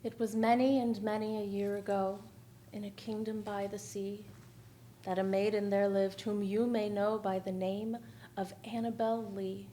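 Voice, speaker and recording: A young woman recites verse in a solemn, mournful style. Her mid-pitched voice moves very slowly with little melodic swing, over some background noise. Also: level tone, warm tone. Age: young adult. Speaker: stage performer reciting poetry. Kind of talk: solemn dramatic poetry reading. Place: theatre stage at a lectern.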